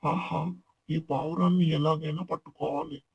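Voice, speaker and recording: Woman putting on a low, husky scheming hunter. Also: steady tone, normal speed, no echo, highs cut off, exaggerated voice. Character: scheming male hunter setting a trap. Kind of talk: children's animated folktale villain.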